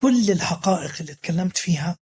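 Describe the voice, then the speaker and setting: Husky Levantine man in his thirties. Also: high voice, lively intonation, a little mellow. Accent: Levantine Arabic. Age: 30s. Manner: halting, restarts words, mixes in English terms. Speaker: guest. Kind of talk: casual interview, telling his story.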